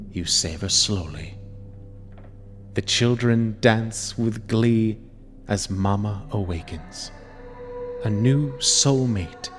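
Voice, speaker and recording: A man giving a theatrical, menacing dramatic reading of horror narration, deliberate and at times dropping into whispers. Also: lowish voice, a bit bright, a little hiss or hum. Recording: long reverb over music. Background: music.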